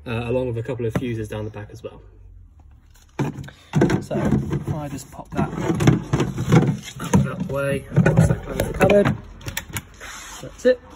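A man talking through a camper van handover in a relaxed way with many ums, filming on a handheld phone. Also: mid-range voice, unhurried, pauses often, heavy background noise. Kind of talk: casual walkthrough, filmed on phone. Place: in and around a parked van, workshop. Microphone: handheld phone, one-handed filming.

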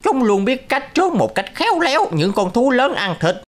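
A man's voice: very high-pitched, very animated, moderate pace. A man voicing a humble, formal old earth god in a cartoon fairy tale. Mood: deferential. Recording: music behind the voice.

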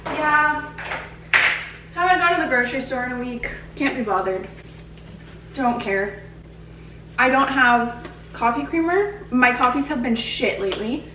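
A woman's voice: sits high, noisy background. Chatty, swearing woman in her twenties. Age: 20s. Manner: casual, swears often, chatty. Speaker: vlogger. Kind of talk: casual vlog chat. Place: apartment bedroom and kitchen. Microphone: handheld vlog camera.

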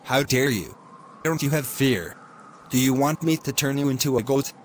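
A greedy witch doctor scolds and bullies in a middle-pitched male voice, as a man puts him on. He threatens more than he explains, with faint ambience behind. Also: moderate pace, a little hiss or hum, close to an everyday voice. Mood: threatening. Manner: scolding, menacing.

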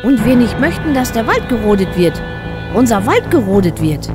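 A woman's voice: a little high, sing-song, normal speed. Woman playing an eerie, lilting old lady. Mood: sweet menace. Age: older, elderly. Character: eerie, genteel old wife of forest owner. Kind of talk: horror audio drama acting. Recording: loud music under the voice.

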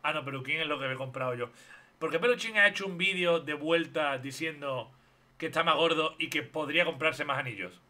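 A man with a Spanish accent from Spain and a very bright, forceful voice, cutting in with blunt, mocking remarks. He sits at his streaming desk, talking over a video he is reacting to. His tone stays offhand and dismissive. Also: a little high, fairly quick. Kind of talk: live stream reaction commentary. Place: home streaming room.

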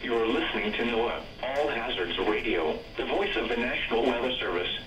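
A man's voice: mid-range voice, animated, moderate pace, recorded from a distance, over music. A man's text-to-speech voice reading an automated weather broadcast in an evenly clipped synthetic manner, played through a radio speaker. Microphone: camera pointed at a weather radio receiver. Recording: radio speaker recorded from across an echoey room.

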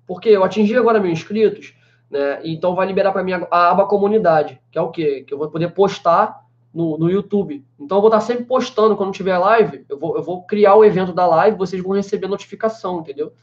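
A man's voice: sits high, fast. A man in his twenties, a language teacher from Brazil, chatting casually while he answers live-stream questions, full of fillers. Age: 20s. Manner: chatty, many fillers like "né" and "cara". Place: small room indoors with a banner behind. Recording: slightly dull lo-fi webcam sound.

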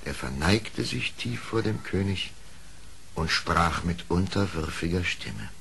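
A man narrating a storybook radio play in a measured, literary way. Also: low voice, animated, vocal fry, a bit bright. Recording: old record with faint noise.

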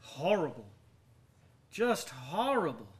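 A brooding, troubled character in a radio play. His voice sits a little high for a man. He speaks very slowly and haltingly, muttering as though to convince himself. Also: sing-song. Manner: halting, muttering self-reassurance. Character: uneasy, brooding man haunted by shipwreck tales. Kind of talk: radio drama acting.

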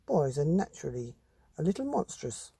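A man voicing a calm, breezy, reassuring male art teacher in a storybook. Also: a little high, normal speed, creaky, breathy edge, no echo, heavily acted. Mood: breezy, unworried.

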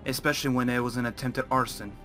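A man's voice: mid-range voice, steady tone, quick pace, bright, forceful tone, soft background music, lightly acted. A man playing a prying, insistent schoolmate who speaks in terse, probing questions in a game roleplay. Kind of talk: scripted Minecraft roleplay.

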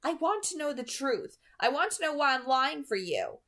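A woman's voice: sits high, very animated, moderate pace, bright, forceful tone, pitched above the performer's own voice, heavily acted. Woman putting on a stubborn, hurt teenage girl. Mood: angry. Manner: intense, insistent.

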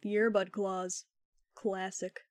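A woman playing a sarcastic, laid-back young man with a deadpan, wry manner in a fan fiction podcast. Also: medium pitch, slow, no room sound, studio quality, close to an everyday voice. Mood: needling.

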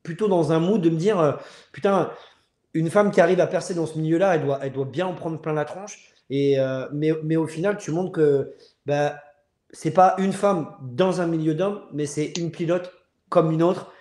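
A man in his thirties hosting a casual live chat over a video call, speaking earnestly and haltingly. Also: fairly high pitch, a little mellow. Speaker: live show host. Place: room at home. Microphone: earphone mic on video call. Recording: roomy, echoing video-call audio.

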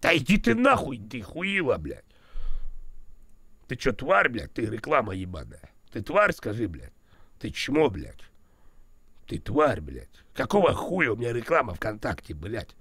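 A man's voice: medium pitch, wildly swooping pitch, measured pace, fairly bright tone, breathy edge, no room sound. A man with a cold streaming live, berating his chat and the ads with crude swearing, then mumbling his thanks and goodbyes. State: has a cold.

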